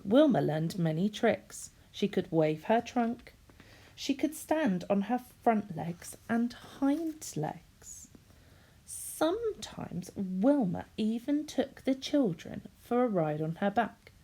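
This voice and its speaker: A woman's voice: medium pitch, very animated, slow, mellow. A woman reading a children's story expressively, in the manner of a teacher speaking to young listeners.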